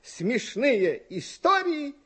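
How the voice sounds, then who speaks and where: A man hosting a children's radio show, presenting in a lively comic style and reading letters with expressive storytelling. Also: very high-pitched, extremely slow, slightly rough.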